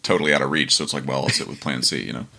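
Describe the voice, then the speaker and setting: A man's voice: very deep voice, racing, creaky. A man in his thirties hosting a podcast, telling stories animatedly, laughing often and acting out what people said. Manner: animated storyteller, laughs often, acts out quotes. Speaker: podcast host. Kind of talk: casual interview chat.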